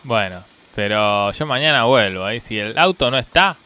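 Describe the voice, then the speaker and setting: A man plays an indignant car owner with an Argentine accent. The voice is mid-pitched, bright and crisp, scolding and insisting in the manner of a radio comedy sketch. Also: lively intonation, normal speed. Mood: insistent. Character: exasperated, indignant male car owner scolding his mechanic.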